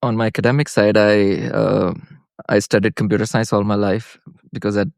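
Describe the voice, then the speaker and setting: Podcast guest, man from Pakistan, low steady voice, earnest storyteller who restarts often, dry room. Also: vocal fry, dry recording. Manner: halting, frequent restarts and fillers, earnest. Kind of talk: casual conversational storytelling.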